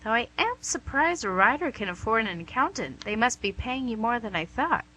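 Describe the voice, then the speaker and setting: A woman's voice: a little high, very animated, normal speed, bright, forceful tone, exaggerated voice. An actress playing the Devil as a playful, charming, smug female boss in a comic radio play, chatty and theatrical. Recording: noisy amateur recording with hum.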